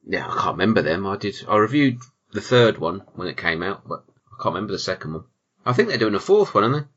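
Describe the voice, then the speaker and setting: A British man with a bright, forceful voice hosts a casual film podcast. He banters in a dry, jokey way and swears freely. The audio is slightly dull and lo-fi. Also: fairly low pitch, very animated, fast talker, slightly rough. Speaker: podcast host.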